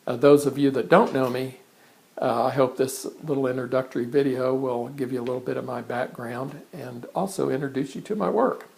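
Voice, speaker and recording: Gravelly older man chatting about himself. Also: mid-range voice, darkish tone. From born in England, raised in Kansas. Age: 60s. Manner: conversational, halting with frequent restarts and fillers. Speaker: artist introducing himself. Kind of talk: casual introductory monologue to camera. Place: his art studio in front of a blank canvas.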